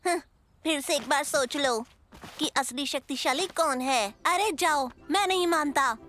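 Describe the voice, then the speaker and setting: A boastful young cub. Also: female voice, measured pace, slight background noise. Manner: excited, high-pitched childlike voice. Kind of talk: dubbed cartoon acting.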